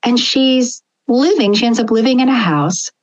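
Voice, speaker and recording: A woman hosts a podcast interview and asks thoughtful questions at an even pace. Her voice is a little higher than most, and it comes through dull, lo-fi remote audio. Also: normal speed. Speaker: interview host.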